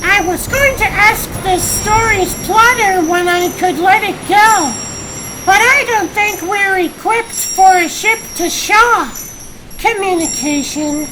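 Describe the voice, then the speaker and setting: A woman voicing a sassy, theatrical female cat sorceress superhero in a comic dub, drawing out her words, with noise behind her. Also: very high voice. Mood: triumphant. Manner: theatrical, drawn-out, punning. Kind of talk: comic book dub acting.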